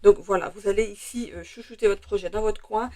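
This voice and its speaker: A French woman with a slightly hoarse voice, chatting through a tarot card reading with many hesitations and fillers. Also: mid-range voice, steady tone, brisk, mellow. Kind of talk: casual weekly tarot reading.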